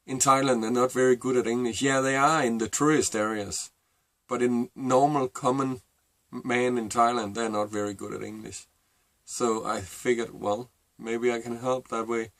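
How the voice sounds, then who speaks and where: A middle-aged man with a Danish accent, a native Danish speaker, telling a personal story to camera in a halting, reflective way. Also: medium pitch, steady tone, a bit bright, no room sound. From Denmark. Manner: halting and reflective, with many fillers and restarts. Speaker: vlogger.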